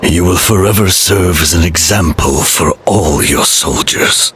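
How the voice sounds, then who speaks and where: A man's voice: low-pitched, measured pace, fairly bright tone, slight background noise. A man voicing a solemn, loyal soldier in a game, grave and reverent. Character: solemn, loyal male soldier honoring his lord.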